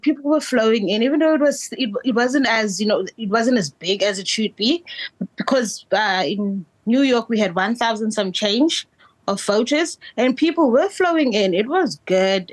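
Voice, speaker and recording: A South African woman, an election observer, talking loudly and excitedly with frequent laughter in a remote interview over a video call. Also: a little high, normal speed, rough recording. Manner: loud, bubbly and animated, laughs often.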